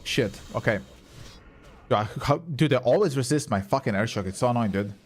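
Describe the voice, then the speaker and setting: A man's voice: medium pitch, lively intonation, fairly quick, breathy edge. A young man whose first language is Croatian, chatting casually with viewers and swearing now and then while he plays on a live stream. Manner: chatty, reads and answers chat, swears casually. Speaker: game streamer.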